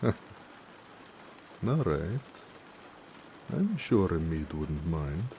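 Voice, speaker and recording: Casting: male actor as a tender, shy orc blacksmith for romance audio, very low and dark-toned, hesitant, homely. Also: big pitch swings, highs cut off, a little hiss or hum, exaggerated voice. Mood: inviting. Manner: soft-hearted, hesitant, with pauses and hedging. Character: gentle, bashful, affectionate male orc blacksmith, homebody. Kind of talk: intimate romantic audio roleplay acting.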